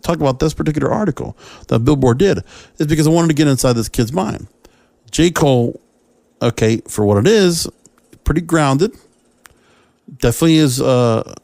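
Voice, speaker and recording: A man's voice: mid-range voice, a little mellow, no echo. Man hosting a podcast, dry close sound. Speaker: podcast host. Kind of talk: solo podcast commentary.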